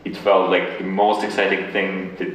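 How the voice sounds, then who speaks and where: Echoey, low-voiced man speaking haltingly. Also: level tone. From Ukraine. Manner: hesitant, halting, repeating words. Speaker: interview guest, tech founder. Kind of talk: conversational Q&A answers. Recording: very echoey room, distant mic, faint noise.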